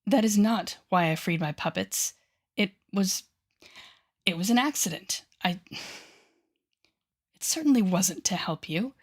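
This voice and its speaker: A woman playing a haughty, sardonic telepathic villain with a hidden soft side, speaking straight to the listener in an audio roleplay. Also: mid-range voice, lively intonation, slightly rough. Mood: reluctant, flustered. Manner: sardonic, theatrical, teasing, with sighs and deliberate pauses. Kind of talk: intimate audio roleplay acting addressed to the listener.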